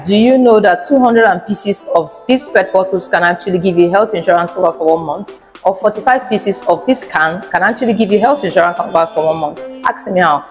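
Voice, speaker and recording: Woman reading a promo over soft music. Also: on the low side. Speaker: ad presenter. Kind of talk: scripted radio-style advert. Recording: long reverb with faint music.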